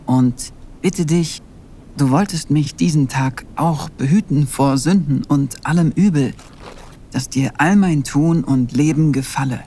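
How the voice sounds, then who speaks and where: A man's voice: a little high, mellow, a little hiss or hum, close to an everyday voice. A man playing a humble, pious young monastery novice in a fantasy audio play, speaking earnestly and with solemn care. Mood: devout. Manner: solemn, measured.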